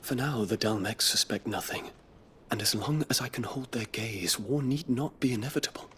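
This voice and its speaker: An actor playing a troubled, dutiful young imperial prince in a video game, speaking in formal, lofty, earnest language. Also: male voice, mid-range voice, normal speed, a bit bright, slight background noise, close to an everyday voice. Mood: grave, troubled. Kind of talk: dramatic video game cutscene acting.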